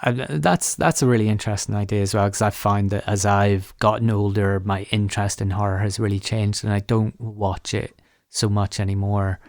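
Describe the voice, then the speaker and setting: Guy hosting a podcast interview, lowish voice, kinda tentative and wordy, really dry sound. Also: fairly even intonation, normal speed, a little mellow, no room sound. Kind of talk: conversational interview.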